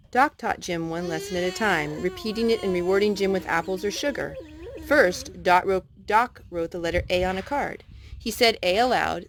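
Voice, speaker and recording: A woman reading a children's story aloud as a storytime host, expressive, as if to a child. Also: lowish voice, slight background noise. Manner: expressive, chatting to a child between passages.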